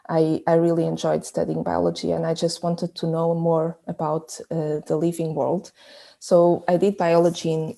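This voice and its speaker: A woman from Portugal, Portuguese her first language, answers interview questions about her research. Her voice sits low and mellow and stays fairly flat in pitch. She explains in a measured way and often restarts her phrases. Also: vocal fry. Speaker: immunologist, research group leader.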